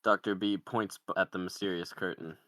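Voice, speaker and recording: Male table-read narrator, low voice, dry close sound, delivering stage directions. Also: steady tone, fairly quick, fairly bright tone, dry recording. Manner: reads out screenplay directions. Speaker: podcaster reading stage directions.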